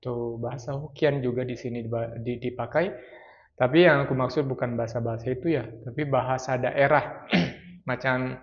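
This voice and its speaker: A man's voice: mid-range voice, steady tone, normal speed, highs cut off. Chatty Batak-accented man, casual vlog. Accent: Batak-inflected Indonesian. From North Sumatra, Indonesia. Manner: chatty, mixes in English phrases. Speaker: travel vlogger. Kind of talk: casual travel chat.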